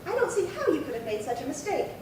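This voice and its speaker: A woman's voice: sing-song, quick pace. A woman playing a fussy, indignant middle-aged burglary victim with a prim, folksy way of insisting, in a radio drama. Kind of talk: 1950s radio crime drama acting. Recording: echoey room, distant mic, noisy rumble.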